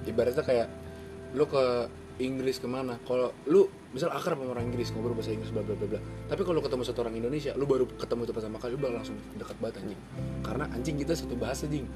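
Fast-talking young man, swears a lot. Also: medium pitch, music in the background. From Java. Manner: fast and slangy, swears often. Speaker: podcast co-host. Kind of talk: casual chat.

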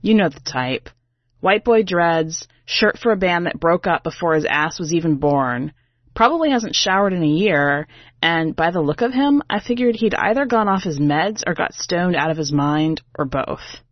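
Woman reading as a brash, sarcastic woman, lowish voice. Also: lively intonation, normal speed, no room sound, dull audio. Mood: sardonic, confiding. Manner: wry, conversational, sweary, exasperated. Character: brash, sarcastic, defensive New York female choir singer. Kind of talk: first-person short story narration.

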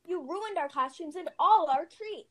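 A woman's voice: very high-pitched, animated, moderate pace, a bit bright. A woman playing a spirited, dramatic schoolgirl in an amateur radio-style play. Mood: outraged. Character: Molly, indignant wartime American schoolgirl, vengeful, dramatic. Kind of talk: amateur reader's theater podcast.

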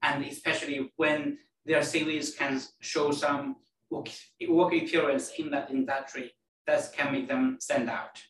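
A man's voice: on the high side, flat delivery, fairly bright tone. Non-native man, roomy distant sound. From China. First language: Chinese. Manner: non-native phrasing, halting, repeats words. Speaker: guest, telecom network planner. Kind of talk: interview answers.

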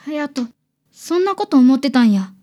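A woman's voice: high-pitched, sing-song, moderate pace, dark tone. A woman playing a young, devoted elder sister as a polite, sincere client in a radio drama. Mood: moved, grateful.

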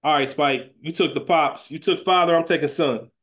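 Man, American accent, somewhat high-pitched voice, casual chat, podcast co-host. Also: normal speed, a bit bright. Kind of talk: casual chat, fantasy draft banter.

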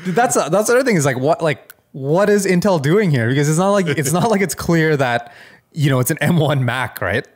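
A man's voice: a little high, animated, a little fast. A man co-hosting a casual tech chat, talking in a hedging way and often checking in for agreement. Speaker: podcast co-host.

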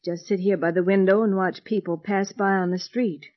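An actress playing a gentle middle-aged mother, affectionate but easily wounded, in a mid-century radio drama. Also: mid-range voice, normal speed, natural voice. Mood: calm. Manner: genteel, affectionate, expressive. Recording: dull lo-fi old radio recording.

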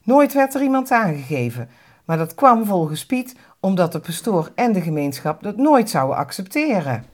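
A woman's voice: medium pitch, sing-song, normal speed. A woman co-narrating a podcast in a scripted documentary style.

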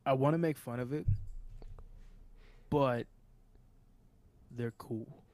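Wisecracking young man, mid-pitched and dry. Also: slow pace, a little mellow, dry recording. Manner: wisecracking, teasing interjections. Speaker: podcast co-host. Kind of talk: casual chat.

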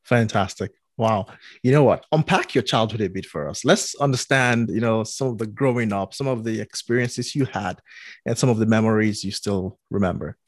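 Low-voiced podcast host, dry sound. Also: male voice, moderate pace, dry recording. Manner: encouraging, inviting questions. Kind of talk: interviewer.

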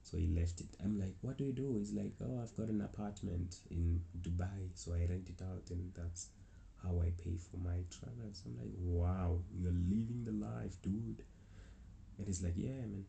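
A man's voice: low-pitched, normal speed, vocal fry, mellow, a little hiss or hum. A young man from South Africa with a South African accent, telling a rambling travel story in a casual way. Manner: rambling, restarts often, chuckles. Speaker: travel vlogger.

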